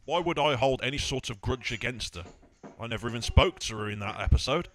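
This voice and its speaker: A proud, touchy villain of a warden acted by a man for a comedy audio play. He speaks in a middling pitch with pompous, stubborn delivery. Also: expressive, brisk, a bit bright, natural voice. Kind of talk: amateur comedy audio drama acting.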